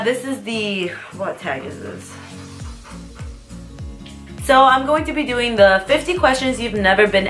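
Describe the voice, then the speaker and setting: A young woman from the US talks through a casual vlog, rambling and giggling between questions. Her voice sits at an everyday middle pitch, with light music faintly playing underneath. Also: fairly bright tone. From United States. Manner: chatty, rambling, with fillers and giggles. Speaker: vlogger. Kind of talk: casual tag video chat.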